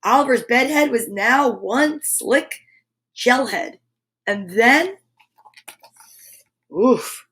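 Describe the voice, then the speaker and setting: A woman hosting children's storytime, reading a picture book aloud with playful, drawn-out sound effects and repeated words. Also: a little high, animated, a bit bright.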